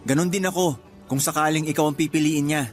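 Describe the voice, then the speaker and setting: Very high-pitched chummy young Filipino man acted. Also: fairly even intonation, fast talker, faint music behind, close to an everyday voice. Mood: nervous, earnest. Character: upbeat, responsible young male suitor, loyal friend. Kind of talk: Filipino radio drama acting.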